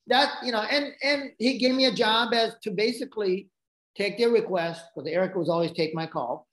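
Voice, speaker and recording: A man's voice: high-pitched, normal speed, fairly bright tone. Man with a Japanese accent, roomy sound. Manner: rambling, frequent restarts and asides. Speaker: podcast guest. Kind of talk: casual storytelling.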